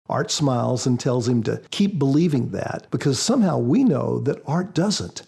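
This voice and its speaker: A man speaking as a guest author in a podcast interview, explaining in a measured, prepared style. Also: mid-range voice, vocal fry, dark tone, husky.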